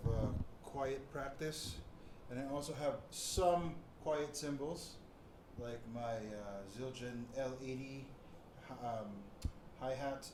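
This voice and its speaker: A man talking casually as a musician hosting a vlog, rambling and often repeating or correcting himself. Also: medium pitch. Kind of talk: casual storytelling and drum kit tour. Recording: clear background noise and room ambience.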